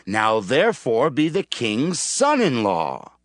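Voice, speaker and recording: A man's voice: mid-range voice, wildly swooping pitch, slow pace, no room sound, clean studio sound. A man playing a jealous, scheming king in a dramatized scripture audio, with a grave, commanding, theatrical delivery.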